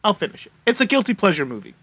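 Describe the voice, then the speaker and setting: High-pitched, animated man on a podcast. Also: rapid-fire, breathy edge. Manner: theatrical, repetitive build-up. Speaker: podcast co-host. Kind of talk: casual chat about films.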